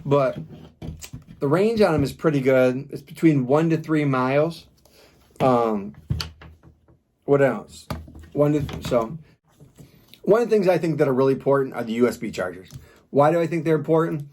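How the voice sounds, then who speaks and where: A man's voice: a little high, lively intonation, normal speed, long pauses, darkish tone. A man giving a casual, unscripted product review in a plain-spoken, rambling, informal way. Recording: clear background noise with clicks.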